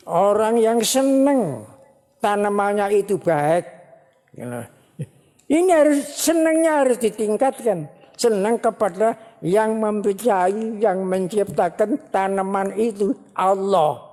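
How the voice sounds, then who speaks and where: Slow-preaching woman in a reverberant hall. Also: mid-range voice, warm tone. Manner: slow, repetitive, emphatic preaching. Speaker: religious teacher. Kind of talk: sermon at a ceremony.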